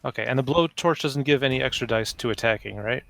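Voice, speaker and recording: A man's voice: medium pitch, quick pace, vocal fry, fairly bright tone, slight background noise. A man chatting casually as a player in a tabletop roleplaying game.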